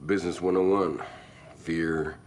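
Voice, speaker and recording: Cult boss for a game cutscene: very deep, creaky, sly and menacing, faint rumbling noise behind. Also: male voice, fairly even intonation, unhurried. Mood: smug, sly. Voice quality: gravelly. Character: gravelly local male cult leader, menacing and self-assured. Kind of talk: video game cutscene acting. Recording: light background noise with low rumble.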